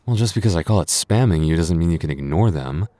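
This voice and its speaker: A man's voice: sits low, lively intonation, fast talker, dark tone, dry recording. A man playing a flustered young man with a secret crush in an intimate boyfriend ASMR roleplay, stammering and getting defensive. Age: young adult.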